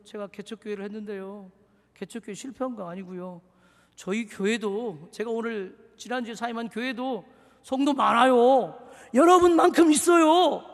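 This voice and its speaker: A pastor from Seoul in his forties preaching a sermon, swinging from shouted exhortation to hushed, confiding asides. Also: extremely high pitch. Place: church sanctuary. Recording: reverberant church hall.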